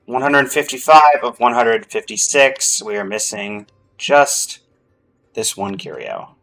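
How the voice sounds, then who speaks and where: A man's voice: medium pitch, expressive, takes it slowly, vocal fry, a bit bright, low-quality recording. A man giving casual gameplay commentary as a streamer, thinking aloud as he goes. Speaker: game streamer.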